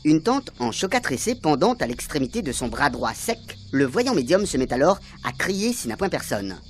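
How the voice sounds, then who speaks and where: A man's voice: a little high, sing-song, normal speed. A man reads a scripted radio serial narration in a mock-dramatic storytelling style. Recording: music under the voice.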